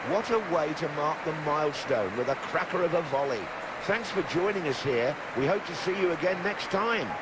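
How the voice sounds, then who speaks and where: An actor playing a polished vintage TV football commentator in a game cutscene. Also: male voice, a little high, lively intonation, normal speed, lightly acted. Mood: upbeat. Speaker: match commentator. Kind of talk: sports commentary. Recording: hissy, noisy stadium broadcast, loud crowd.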